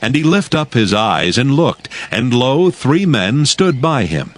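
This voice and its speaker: A man narrating scripture from a script in a measured, formal manner. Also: medium pitch, big pitch swings.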